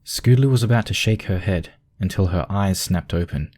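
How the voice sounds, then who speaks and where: A man's voice: low-pitched, fairly quick, a little mellow. A man narrating a scripted piece of fiction as a storyteller.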